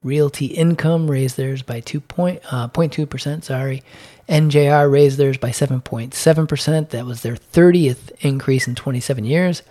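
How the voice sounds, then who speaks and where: A slightly hoarse man giving a casual recap of his dividend portfolio, halting with many ums and restarts as he reads out figures. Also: mid-range voice, mellow. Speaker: dividend investing vlogger.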